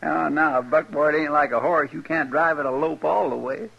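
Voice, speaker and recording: Creaky, high-voiced folksy Western deputy. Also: male voice, normal speed, a bit bright, heavily acted. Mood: cheerful. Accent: rural American. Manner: folksy, drawling, deferential. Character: folksy, eager, deferential Old West deputy sidekick. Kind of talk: 1950s radio western acting. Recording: dull, noisy 1950s radio recording.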